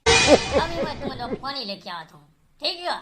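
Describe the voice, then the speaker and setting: High-pitched Chhattisgarhi village lad played by a man. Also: big pitch swings, unhurried, over loud music, full character voice. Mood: fond, coaxing. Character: worried village youth tending hungry calves. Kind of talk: cartoon comedy dialogue.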